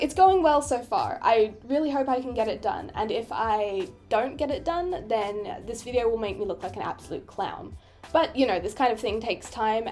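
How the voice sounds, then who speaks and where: A woman's voice: on the high side, a little mellow. A young Australian woman chatting casually in a self-deprecating way, laughing often as she narrates her own painting. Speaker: digital artist. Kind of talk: casual voice-over commentary over a speedpaint. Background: faint background music.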